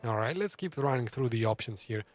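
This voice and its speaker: A man's voice: medium pitch, animated, a little fast, soft background music. A man giving casual, reactive commentary on a game, thinking aloud as he plays. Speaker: gaming streamer.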